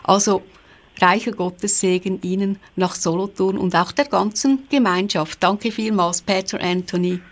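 A woman's voice: medium pitch, fairly even intonation, normal speed. A woman, a radio host who is polite and formal as she interviews a guest.

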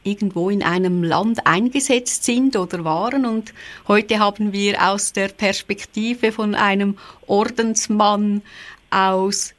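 A woman's voice: mid-range voice, slow pace. A woman, a radio host who is polite and formal as she interviews a guest.

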